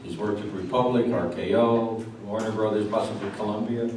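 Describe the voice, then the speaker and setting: A man's voice: fairly low pitch, fairly even intonation, a little fast. A man acting as interviewer, introducing and questioning a guest at a live stage event. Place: live event venue with audience. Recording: echoey room, distant mic, clear background noise.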